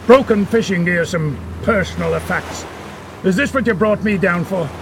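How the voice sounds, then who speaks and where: A gravelly, scornful old-school ship's captain in a radio adventure. His voice sits high for a man and he barks brusquely over loud sea and engine noise. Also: moderate pace. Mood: impatient. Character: gruff, impatient, weathered ship's captain. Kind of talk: 1930s-style radio adventure acting. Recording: noisy sea and boat engine ambience.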